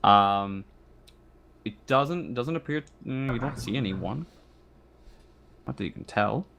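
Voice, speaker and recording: A man acting as game master, narrating a tabletop roleplaying session in a halting, conversational way. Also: medium pitch, lively intonation, creaky, a bit bright, slight background noise.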